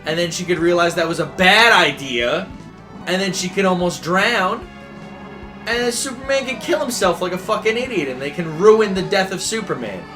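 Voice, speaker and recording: A man's voice: high-pitched, expressive, moderate pace, over music. A young man giving casual, profane gameplay commentary while playing a retro game with a friend, rambling and mocking. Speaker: let's player.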